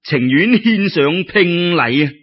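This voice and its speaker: Storybook young scholar played by a male narrator: frivolous, smitten, flowery Cantonese, husky, lively; dull lo-fi sound. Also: sits high, slow pace, voice pushed up, heavily acted. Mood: polite, eager. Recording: dull lo-fi radio-style recording.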